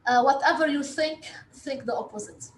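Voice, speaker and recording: A woman from Lebanon, a native Arabic speaker, answering interview questions in a halting way with frequent filled pauses. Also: high-pitched. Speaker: interview guest, investor relations director. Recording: echoey room with faint background noise.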